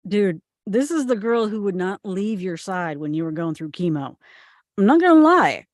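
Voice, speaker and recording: A woman's voice: mid-range voice, very animated, moderate pace, no room sound, clean studio sound, lightly acted. A woman playing casual, supportive young men in a drama script table read. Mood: frank, casual.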